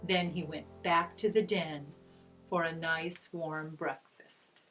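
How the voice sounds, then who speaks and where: A woman's voice: sits low, fairly even intonation, slow, a bit bright, soft background music, poor audio. A middle-aged woman reading aloud to children as a storyteller and teacher, using simple phrases and coaxing questions. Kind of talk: children's read-aloud with gentle guided discussion.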